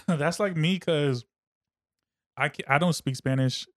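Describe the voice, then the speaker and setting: A man's voice: a little high, takes it slowly, a bit bright, dry recording, studio quality. A man hosting a podcast in a laid-back, casual way, swearing freely and saying "dude". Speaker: podcast host.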